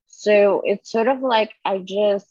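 A woman guest answering interview questions in a playful, deadpan way with many fillers. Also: mid-range voice, slow pace, no echo, highs cut off.

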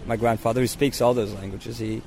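A man's voice: mid-range voice, fairly even intonation, fairly quick, darkish tone. A man from Izmir interviewed at an outdoor cafe, talking casually with small restarts. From Izmir, Turkey. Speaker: tobacco dealer interviewee. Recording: outdoor cafe with traffic noise.

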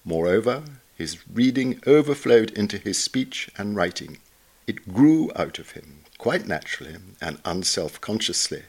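Male narrator, lower-pitched, for a formal, measured reading of a literary obituary. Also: very animated, darkish tone, slightly rough. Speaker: reader, narrator.

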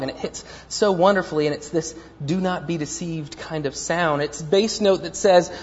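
A man preaching a sermon as pastor, rambling and self-deprecating, with many fillers and restarts. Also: high-pitched. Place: church. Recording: dull lo-fi church recording with long reverb.

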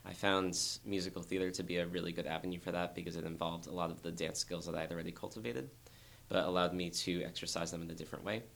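Very low gravelly young man. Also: fairly even intonation, quick pace. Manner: thoughtful, earnest, frequent restarts. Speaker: dancer guest. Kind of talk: reflective interview talk.

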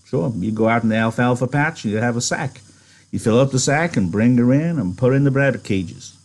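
A wiry drifter ranch hand, dreamy and a little guarded, who talks in plain folksy phrases and sometimes quickens as he gets carried away, as a man reads him close to his own mid-range voice. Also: medium pitch, lively intonation, darkish tone, natural voice. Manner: folksy, rural turns of phrase.

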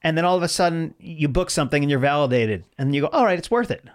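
A man in his forties, a stand-up comedian talking casually as an interview guest, telling stories in an animated way and acting out dialogue. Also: on the high side, quick pace. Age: 40s. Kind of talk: casual conversational storytelling.

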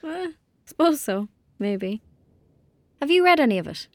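A woman's voice: sits high, sing-song, a little slow, dry recording. Earnest young Irish girl. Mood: teasing, amused. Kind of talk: naturalistic audio drama acting.

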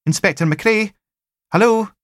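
A male narrator plays a cocky young Scottish Highlands policewoman in a crime audiobook. The voice is sing-song and eager, lifted well above the reader's own, with a brisk, keen delivery. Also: fairly bright tone, no echo, clean studio sound. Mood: calling out.

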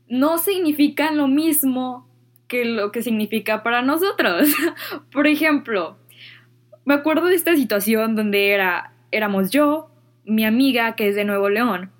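A young adult woman with a Mexican accent, telling personal stories in a casual, slangy, expressive and giggly way, like a vlogger. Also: on the high side. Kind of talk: casual chatty storytelling, slangy.

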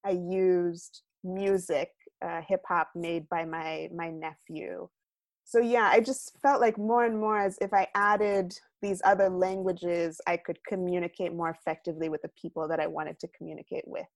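A young woman from the United States, a guest artist, talking reflectively in an interview and often trailing off quietly. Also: lowish voice, fairly even intonation, moderate pace, dry recording. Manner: thoughtful, many fillers and restarts, trailing off quietly.

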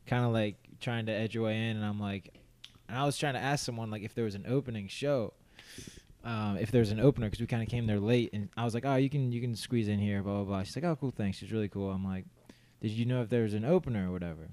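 A man's voice: fairly low pitch, fast, darkish tone. A young man co-hosting a casual podcast, telling rambling stories full of fillers and restarts, laughing often and acting out his own thoughts. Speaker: podcast co-host. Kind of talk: casual chat.

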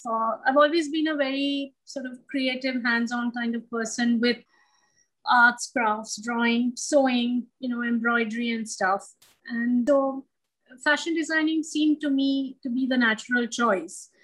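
A woman's voice: a little high, fairly even intonation, unhurried, a bit bright, poor audio. A middle-aged woman, from Sri Lanka and India, answering questions conversationally as an interview guest.

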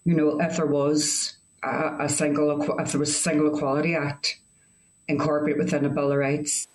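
A man speaking earnestly as a committee member, halting with restarts and fillers. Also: fairly high pitch, poor audio. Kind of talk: committee meeting remarks.

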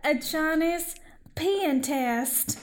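A woman puts on a coy lady in a spoof advert sketch. Her voice is high and drawn out, suggestive and insistent. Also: very slow. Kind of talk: comic sketch acting.

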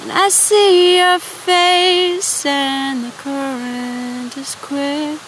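A woman singing a gentle, slow song. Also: high voice, fairly even intonation. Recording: noisy field recording.

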